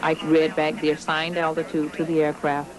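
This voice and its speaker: A woman's voice: sits low, monotone, normal speed. A woman, an air traffic controller, recalling events in an interview. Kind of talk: interview recollection.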